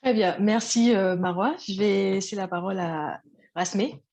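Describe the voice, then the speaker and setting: A woman's voice: mid-range voice, normal speed, darkish tone. Woman moderating a webinar. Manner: asks questions, hesitant with fillers.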